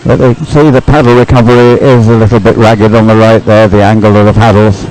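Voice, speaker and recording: Male live race commentator, lower register, tentative and thinking out loud, faint music underneath. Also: flat delivery, fast, low-quality recording. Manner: hesitant, thinking aloud. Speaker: sports commentator.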